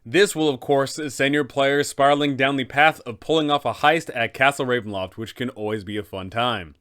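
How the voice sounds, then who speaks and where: A man's voice: medium pitch, normal speed, a bit bright, clear, resonant tone. A man hosting a game master guide, talking in an enthusiastic, casual way. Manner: enthusiastic, upbeat. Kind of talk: casual tutorial narration.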